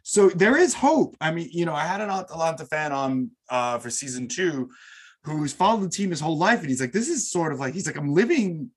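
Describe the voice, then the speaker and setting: A man's voice: fairly high pitch, animated, brisk, breathy edge. A middle-aged man hosting a podcast, chatting casually and eagerly about football. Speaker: podcast host.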